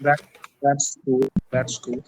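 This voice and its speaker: A man's voice: mid-range voice, completely flat delivery, slow, noisy background. A man from Pakistan, whose first language is Urdu, chatting on a video call in careful, hesitant English with frequent uhs. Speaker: English teacher and channel host. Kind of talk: casual video call chat.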